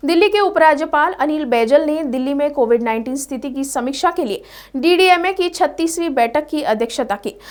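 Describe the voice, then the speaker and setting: A woman's voice: high voice, lively intonation, brisk. A woman presenting scripted news as the anchor of a bulletin.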